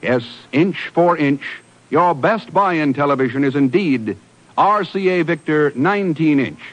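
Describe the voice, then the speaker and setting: A man's voice: fairly high pitch, animated, unhurried, dull audio. A man doing scripted 1950s radio announcing and advertising. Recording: vintage radio broadcast with music. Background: music.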